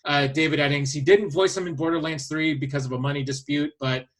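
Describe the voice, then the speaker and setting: Male podcast host, voice a bit on the high side; casual, hesitant, thinking out loud as he presents. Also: fairly even intonation. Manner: hesitant, halting, thinks aloud. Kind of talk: casual chat, presenting a list of casting picks.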